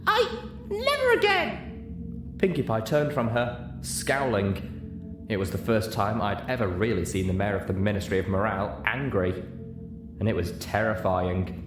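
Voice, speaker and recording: A narrator reading a story aloud in an expressive, scripted style. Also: sits low, moderate pace, over music. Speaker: audiobook narrator. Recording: roomy echo, clearly noisy background.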